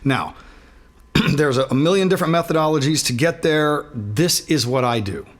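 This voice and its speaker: A middle-aged man with a raspy voice and sinus trouble, teaching a singing warm-up in a relaxed, self-deprecating way. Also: fairly high pitch, moderate pace. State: sinus trouble, throat not feeling great. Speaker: guitarist giving a singing lesson. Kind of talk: casual instructional talk.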